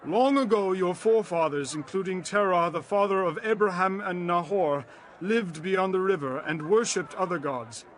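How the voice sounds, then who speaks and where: Man playing aged Israelite leader, high-pitched, solemn, declamatory, audio scripture drama, music underneath. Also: normal speed, natural voice. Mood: solemn, declaring. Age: older. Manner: grave, declamatory, emphatic. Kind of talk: dramatized scripture reading, oratory.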